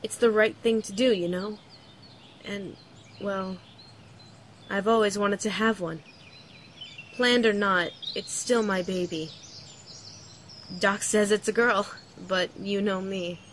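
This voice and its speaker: A woman's voice: mid-range voice, steady tone, takes it slowly, pauses often, a bit bright. Woman acting earnest young student, birdsong behind. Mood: resolute, confiding. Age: young adult. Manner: conversational, confiding. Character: earnest young pregnant student, wistful, determined. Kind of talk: audio drama acting. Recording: faint outdoor noise, birdsong.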